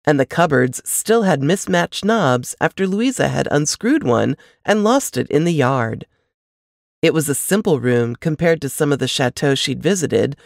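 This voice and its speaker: Woman narrating fiction, lively and close-miked. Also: low voice, no echo. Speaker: narrator reading a novel excerpt. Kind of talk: expressive scripted fiction narration.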